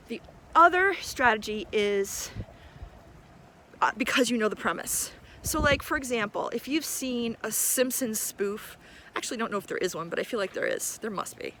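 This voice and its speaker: A woman's voice: on the high side, fairly bright tone, poor audio. A middle-aged woman speaking as an encouraging teacher in a casual video message to students, recorded outdoors in a park. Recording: outdoor park ambience noise.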